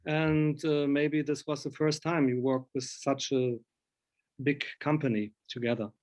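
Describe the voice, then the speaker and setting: A man's voice: fairly high pitch, level tone, measured pace, darkish tone. A middle-aged man, a journalist, asking interview questions on a video call and searching for his words. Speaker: journalist and magazine editor. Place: indoor room, video call.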